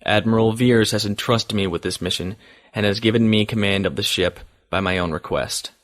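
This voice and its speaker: Guy playing a space captain doing his log, measured low voice, formal, really dry sound. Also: dry recording, natural voice. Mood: sober. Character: dutiful male starship captain recording his log. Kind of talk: audio drama monologue, log narration.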